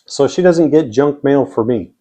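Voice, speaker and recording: A man's voice: mid-range voice, moderate pace, mellow. An American man explaining things step by step in a plainspoken, casual vlog style. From United States. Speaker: vlogger. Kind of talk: casual explainer to camera or screen.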